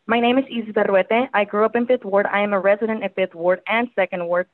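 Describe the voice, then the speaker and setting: A woman's voice: medium pitch, steady tone, fast. Impassioned woman on a tinny phone line. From Houston, Texas. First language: Spanish. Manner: urgent, pressing rhetorical questions. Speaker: public commenter. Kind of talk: impassioned public comment. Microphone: phone call.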